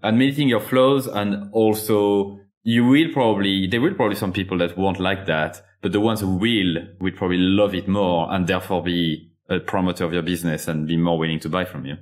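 A man's voice: low-pitched, fairly even intonation, brisk, hardly any pauses. A man with a French accent, a native French speaker, hosting a podcast interview in a conversational way. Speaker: podcast host.

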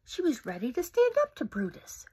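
Animated slow storyteller woman, husky. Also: sits high. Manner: animated, with whispered asides. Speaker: storybook reader. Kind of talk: reading a picture book aloud. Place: home room indoors. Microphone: phone camera close up.

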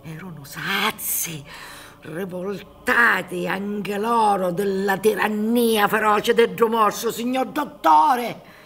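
An actress in her sixties playing an anguished, bitter old Sicilian peasant mother in a radio drama, with a Sicilian accent and halting, dramatic delivery. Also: medium pitch, gravelly, husky, full character voice. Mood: haunted, bitter. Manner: dramatic, halting, swinging between hushed whispers and cries. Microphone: studio microphone with headphones on a theatre stage.

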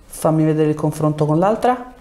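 A man's voice: on the high side, fairly quick, warm tone. A man in his thirties, a chiropractor, explaining and giving short clinical instructions and questions to a patient in speech. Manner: short directive questions and prompts. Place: small treatment room, indoors. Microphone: lapel mic.

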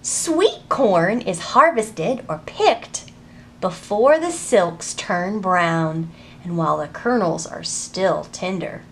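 A woman in her thirties hosting a children's storytime, reading aloud and teaching in an animated, deliberate, sing-song way. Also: medium pitch, a little hiss or hum. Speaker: children's librarian and storytime host. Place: public library children's section.

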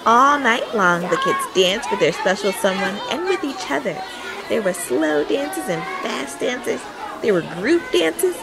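A woman reading a children's picture book aloud expressively, acting out the dialogue in an animated way. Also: on the high side, measured pace, loud music behind the voice, a loud crowd. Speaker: storytime host and reader.

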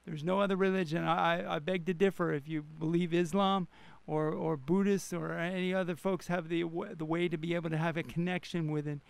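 High-pitched gravelly man preaching on radio. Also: flat delivery, a little fast, few pauses, dry recording. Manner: emphatic, repeats phrases for stress. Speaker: pastor and radio co-host. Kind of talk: animated radio preaching and banter.

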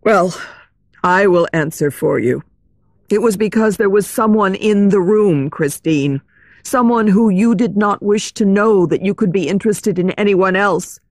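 A woman's voice: medium pitch, unhurried, darkish tone, dry recording, studio quality. A woman playing an earnest, lovesick young nobleman, wounded and insistent, in an audiobook story. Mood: hurt, angry.

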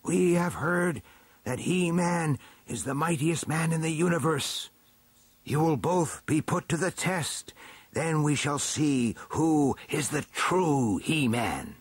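Storybook snow dwarf elder, male and ancient, played by a man: stern, imperious, slow declaiming, raised husky voice. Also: fairly high pitch, lively intonation, no room sound, pitched above the performer's own voice, full character voice. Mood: solemn decree. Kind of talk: storybook character acting.

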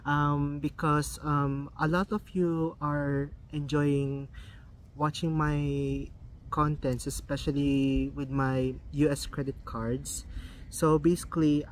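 A man's voice: a little high, flat delivery, slow pace, slight background noise, rough recording. A young Filipino man with a Filipino accent and Filipino as his first language, vlogging casually, with many ums and restarts. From Philippines. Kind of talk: casual vlog talk.

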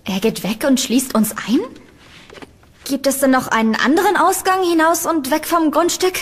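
A woman's voice: high-pitched, normal speed, lightly acted. A woman playing an anxious young woman in a horror audio drama. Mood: anxious, insistent. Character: anxious young woman trapped at an eerie villa.

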